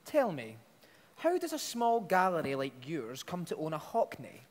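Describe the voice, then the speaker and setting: An actor playing a brisk, gruff police inspector in a dramatised story reading, curt and dry as he interrogates. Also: on the high side, huge pitch swings. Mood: questioning, brisk. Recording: echoey hall, picked up in the room.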